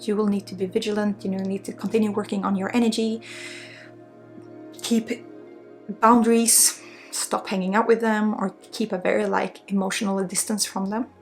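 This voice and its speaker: A woman from Sweden with a Swedish accent speaks as a spiritual healer in an intimate ASMR energy healing style, drifting between soft speech and breathy whispers. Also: mid-range voice, little pitch movement, normal speed, soft background music.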